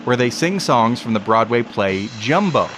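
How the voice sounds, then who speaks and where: A man's voice: mid-range voice, lively intonation, moderate pace, a bit bright, a little hiss or hum. A man hosting a podcast, reading a scripted introduction. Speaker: podcast host. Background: faint music and crowd.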